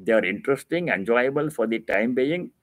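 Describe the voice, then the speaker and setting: A man with an Indian accent teaching scripture as a swami, explaining terms patiently and repeating key phrases for emphasis, with deliberate pauses. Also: mid-range voice, expressive. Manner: explains Sanskrit terms didactically. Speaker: swami giving a religious discourse. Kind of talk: scripture reading with devotional commentary.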